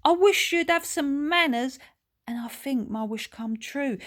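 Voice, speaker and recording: An excitable mother from a children's storybook, acted out by a woman reader. She gushes in colloquial, ungrammatical speech and fancies herself a grand sorceress. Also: high voice, measured pace, pitched above the performer's own voice. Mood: excited.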